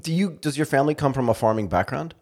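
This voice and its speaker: A man's voice: mid-range voice, expressive, fast talker, darkish tone, slightly rough. A man hosting a podcast, asking curious, enthusiastic questions. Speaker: podcast host. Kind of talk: podcast interview.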